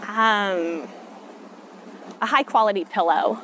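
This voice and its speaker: A woman's voice: medium pitch, takes it slowly, fairly bright tone, poor audio. A woman in her thirties with an American accent, giving brief, thoughtful answers in a casual interview. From Texas, United States. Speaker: interviewee, machine learning researcher. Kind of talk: casual rapid-question interview. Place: outdoor covered city walkway. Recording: outdoor city ambience noise.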